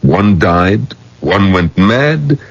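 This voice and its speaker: A man's voice: deep voice, very animated, drawn-out words, breathy edge, heavily acted. An actor playing a fatherly older rabbi in a radio drama, grave, cautious and admonishing, with sighing interjections. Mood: grave caution. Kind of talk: 1980s radio drama acting. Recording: dull, roomy old radio recording.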